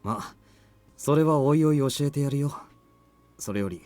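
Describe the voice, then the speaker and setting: Man playing a quick-talking, cool confident fighter. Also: medium pitch, natural voice. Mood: calm. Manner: calm, cocky, unhurried by danger. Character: cool, confident tall male fighter ally. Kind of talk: Japanese visual novel voice acting. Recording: music under the voice.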